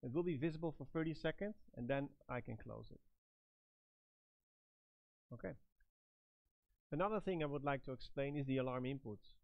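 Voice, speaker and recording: A man's voice: medium pitch, moderate pace, vocal fry, long pauses, no room sound. Middle aged guy walking thru a product demo bit by bit, dry room, sound a little dull. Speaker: product trainer and presenter. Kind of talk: step-by-step product demonstration.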